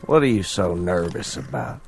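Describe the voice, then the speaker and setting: An actor playing a mocking, menacing outlaw in an old Western drama, cool and laconic, with an American Western drawl. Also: male voice, deep voice, expressive, moderate pace, creaky. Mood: intimidating. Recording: vintage TV drama audio under music.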